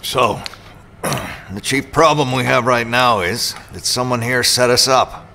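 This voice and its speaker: A man's voice: mid-range voice, takes it slowly, vocal fry, pushed and bright, a little hiss or hum, exaggerated voice. A man playing an irritable, suspicious mercenary robot pilot in an audio drama, sardonic and confrontational.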